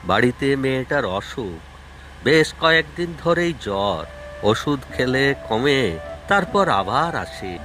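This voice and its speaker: A man's voice: mid-range voice, big pitch swings, a little slow, bright, forceful tone, faint music behind. A man playing a poor, worried labourer and father in a cartoon, plaintive and folksy. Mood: worried, resigned. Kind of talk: cartoon voice acting.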